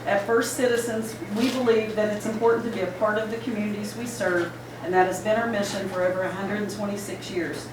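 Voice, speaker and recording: Hoarse woman reading sponsor remarks, far from mic, noisy echoey hall. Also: mid-range voice, steady tone. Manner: formal, measured. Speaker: bank sponsor representative.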